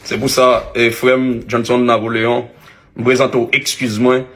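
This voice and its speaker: Haitian-accented man, earnest and halting. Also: mid-range voice, little pitch movement, a bit bright. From Haitian community, Canada. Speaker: online media commentator. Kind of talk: public apology video, partly read from a text.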